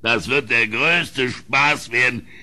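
A man's voice: mid-range voice, slow pace, sharp tone. An actor playing an imperious, blustering, tyrannical king in a vintage German radio play. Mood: eager, commanding. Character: tyrannical, boastful king with a cruel streak.

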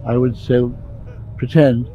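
A man in his eighties talking haltingly on an online call, often repeating the start of his phrases. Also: medium pitch, steady tone, lo-fi sound, faint music behind. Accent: non-American English accent. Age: 80s.

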